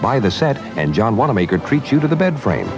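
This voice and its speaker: A man's voice: medium pitch, big pitch swings, fast, music in the background. A man reading a scripted retail commercial as an announcer.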